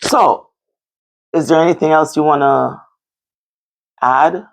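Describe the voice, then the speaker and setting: A Jamaican woman with a low voice and plenty of gravelly fry hosts a casual discussion. She talks bluntly and emphatically, repeating phrases to press her point. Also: slow pace, poor audio. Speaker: discussion show host.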